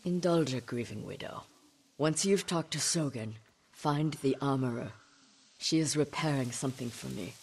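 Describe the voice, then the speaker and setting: Stern, grieving older samurai widow. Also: female voice, very deep voice, moderate pace, a little mellow, breathy edge, exaggerated voice. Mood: cold resolve. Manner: grave, clipped, resolute. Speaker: game character. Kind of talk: dramatic video game acting. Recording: music behind the voice.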